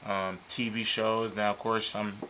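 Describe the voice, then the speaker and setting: A man in his fifties, born in Liberia, a chef talking casually and a little haltingly as a TV interview guest. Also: on the low side, a little hiss or hum. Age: 50s. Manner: relaxed, hesitant with many ums, laughs easily. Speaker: chef and business owner, guest. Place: local TV studio.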